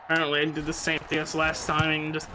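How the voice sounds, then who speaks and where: A young man with a high-pitched voice giving casual commentary while he plays a football video game, reacting loudly to plays. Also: completely flat delivery, fast talker, a crowd in the background, low-quality recording. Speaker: gamer host.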